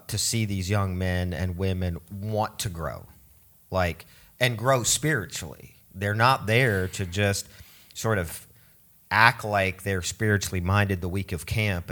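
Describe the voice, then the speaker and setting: A man who directs a summer camp, speaking in an earnest, measured way in a conversational interview. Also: sits low, fairly bright tone. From grew up in Switzerland and Oregon. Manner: measured, earnest, some hesitation.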